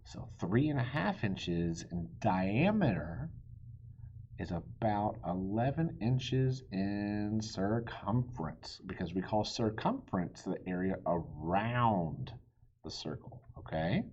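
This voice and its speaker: A man reading a children's storybook aloud as an expressive narrator. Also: on the low side, slow pace, highs cut off, slight background noise. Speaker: storybook reader.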